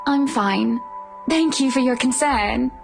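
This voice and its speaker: A woman's voice: high-pitched, slow, creaky, full character voice. An actress playing a poised, wry young married woman in a visual novel, politely spoken but ready to swear. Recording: music playing underneath. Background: game music.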